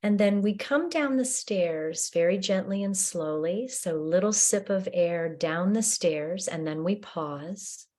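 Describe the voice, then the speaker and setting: A woman leading a guided breathing meditation in a slow, gentle, soothing way with long pauses. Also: lowish voice.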